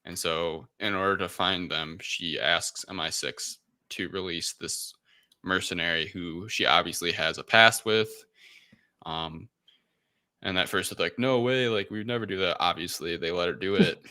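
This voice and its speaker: Very bright, deep-voiced man chatting. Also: moderate pace, no echo. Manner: informal, loosely improvised retelling with quoted asides. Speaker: podcast host recapping a film. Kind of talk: casual film recap chat.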